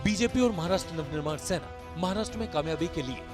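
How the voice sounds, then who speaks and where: A man's voice: a little high, expressive, fast talker. A man narrating a scripted, dramatic news report as a voice-over. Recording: voice-over laid over loud music. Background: music.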